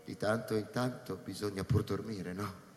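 An actor playing a cynical, weary space-station scientist in a radio drama, speaking sardonically and haltingly with dry pauses. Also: male voice, lowish voice, fairly even intonation, a little mellow, lightly acted. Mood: grave, insistent. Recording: reverberant hall with music behind.

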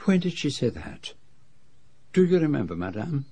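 An actor playing a shrewd, courteous middle-aged gentleman detective with a French-speaking European accent, in formal, precise phrasing for radio drama. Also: mid-range voice, sing-song, moderate pace, slightly rough. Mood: probing, courteous. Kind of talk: radio drama dialogue and narration.

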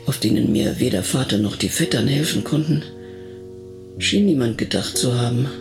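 A man with a gravelly voice narrating a radio drama in the first person, reminiscing in a conversational way. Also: medium pitch, expressive, moderate pace, over music.

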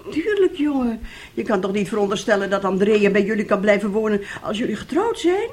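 A woman's voice: medium pitch, big pitch swings, brisk, a little mellow, slight background noise, lightly acted. Theatrical domineering mother, swooping voice acted by a woman. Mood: insistent. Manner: theatrical, wheedling, scolding. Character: domineering, scheming, self-pitying mother of a grown son. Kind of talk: radio drama acting.

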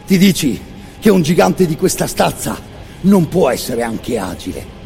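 A man narrating a radio theatre monologue, intense and incantatory, with repeated words and dramatic pauses. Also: a little high, big pitch swings, gravelly, mellow, faint music behind. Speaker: actor narrating a stage monologue. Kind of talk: dramatic second-person storytelling, radio theatre. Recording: long reverberant hall sound.

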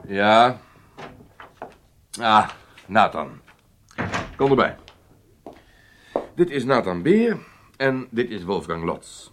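Secret service boss in a radio drama, lowish voice, deliberate, lots of intonation. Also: male voice, very animated, noisy background, full character voice. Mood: businesslike. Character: weighty, deliberate Israeli secret service chief.

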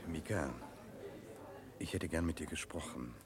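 An actor playing an earnest, courteous prince troubled by injustice, in a formal, measured radio-play manner. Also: male voice, extremely low pitch, over a noisy crowd. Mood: earnest, insistent. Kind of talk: mid-century German radio play acting.

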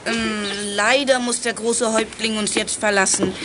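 Eine Schauspielerin spielt in einem Kinderhörspiel ein selbstbewusstes, bestimmendes Detektivkind, das zügig und entschlossen auftritt. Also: female voice, fairly high pitch, fairly even intonation, moderate pace, background noise, lightly acted. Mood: decisive. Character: confident, bossy young child detective leading friends. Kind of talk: German children's radio play acting.

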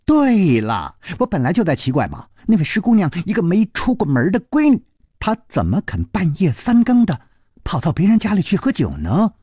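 Man doing a sulky, raised-pitch young boy. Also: wildly swooping pitch, moderate pace, dark tone, slightly rough, no room sound, clean studio sound, full character voice. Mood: sudden realisation. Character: sulky, naive half-grown boy, smug at his guesses.

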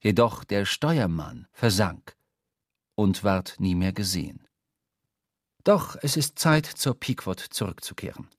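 A man narrating a literary radio drama from a script, storytelling in measured, formal diction. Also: lowish voice, expressive, no room sound, clean studio sound. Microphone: close studio microphone.

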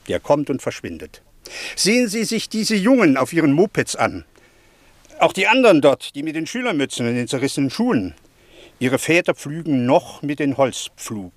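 A man's voice: a little high, no echo. An actor playing an emphatic, opinionated middle-aged Greek townsman in a German radio play, speaking in eloquent, declamatory phrases. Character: opinionated Greek townsman, fond of his noisy city.

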